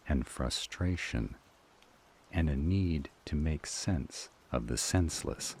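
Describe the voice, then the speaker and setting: A man playing a formal, measured supernatural counsel, cautious and grave, in an audio roleplay drama. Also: a bass voice, mellow, slight background noise, full character voice.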